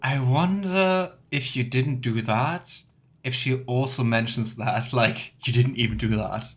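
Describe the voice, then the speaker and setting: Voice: mid-range voice, a little slow. A let's player giving casual, musing commentary on a game and reading dialogue options aloud. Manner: musing, chatty asides.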